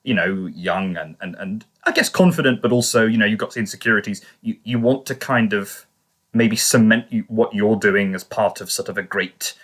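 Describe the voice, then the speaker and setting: A man co-hosting a podcast in casual review talk, tentative and measured, carefully qualifying each point he makes. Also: lowish voice, fairly bright tone. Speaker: podcast co-host.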